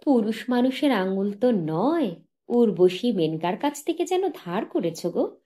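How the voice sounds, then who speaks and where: A woman's voice: a little high, very animated, a little slow, dark tone, pitched above the performer's own voice. A woman voicing a young adoring wife who is playful, jealous and anxious, with a coaxing, tender way of speaking. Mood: teasing, adoring.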